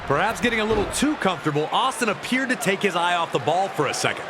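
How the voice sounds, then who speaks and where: A man voicing an excitable wrestling storyteller, narrating matches in a scripted, emphatic and dramatic way for a video game. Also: high voice, quick pace. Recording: loud arena noise behind the voice.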